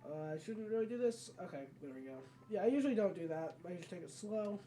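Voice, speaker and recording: Mellow voice, casual let's play commentary, rambling and self-correcting, slightly distant mic, light background noise. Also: medium pitch, animated, fast talker. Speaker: video game let's player.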